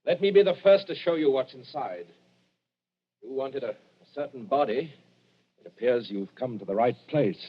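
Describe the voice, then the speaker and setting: An actor playing a suave, drily ironic Victorian doctor with polite, slightly hesitant speech in a 1940s radio drama. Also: male voice, mid-range voice, animated, moderate pace, husky. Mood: dry, ironic. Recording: muffled vintage radio broadcast.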